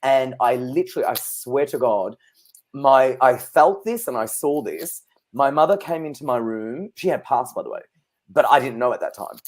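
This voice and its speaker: A man's voice: medium pitch, animated, normal speed. A man in his thirties, a psychic guest on an interview show, telling personal stories in a chatty, anecdotal way and laughing easily. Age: 30s. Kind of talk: casual interview chat.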